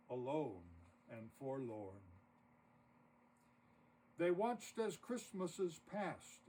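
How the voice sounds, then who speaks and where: A man narrating a rhymed story poem expressively, with dramatic, deliberate phrasing. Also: a little high, a little mellow. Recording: distant mic with audible noise.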